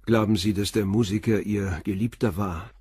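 An actor playing a calm police inspector who puts terse, probing questions in a radio crime drama. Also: male voice, fairly low pitch, normal speed, breathy edge, dry recording, clean studio sound. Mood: calm, inquiring.

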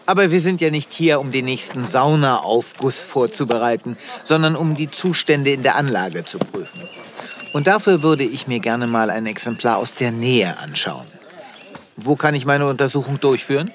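A man's voice: medium pitch, expressive, moderate pace, close to an everyday voice. A man playing a composed, courteous space vet with a formal, avuncular, dry manner in a comic radio play. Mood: businesslike. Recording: noisy sound-effect ambience behind.

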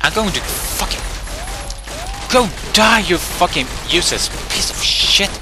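A man's voice: sits high, expressive, unhurried. A young man with a Swedish accent, commentating his gameplay in a crude, swearing rant. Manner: crude, angry ranting with swearing. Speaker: let's play gamer.

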